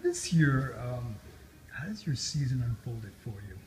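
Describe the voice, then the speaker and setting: Man, mid-pitched voice, friendly interviewer, rambling questions, noisy background. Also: sing-song, measured pace. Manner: friendly, laughs easily, rambling questions with fillers. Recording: noticeable background noise.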